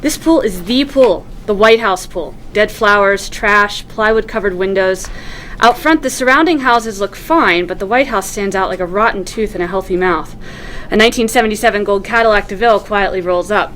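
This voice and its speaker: Woman, mid pitch, screenplay narration, table read, vivid storytelling, faint background noise. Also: normal speed, fairly bright tone. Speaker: stage directions reader.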